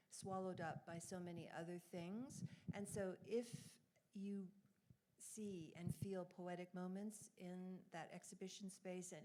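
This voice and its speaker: Woman artist talking about her paintings, kinda low voice, earnest and thoughtful. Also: mellow. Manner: earnest, reflective, speaks with conviction. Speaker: abstract painter. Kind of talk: public artist talk answering questions. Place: gallery event space.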